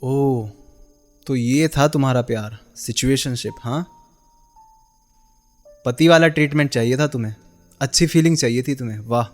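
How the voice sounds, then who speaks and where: A man's voice: medium pitch, moderate pace, long pauses, soft background music, close to an everyday voice. An actor playing a bitter, heartbroken young man in a radio drama, sarcastic and accusing as he confronts the woman he loved. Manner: sarcastic, accusing, with pointed rhetorical questions. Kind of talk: Hindi radio play acting.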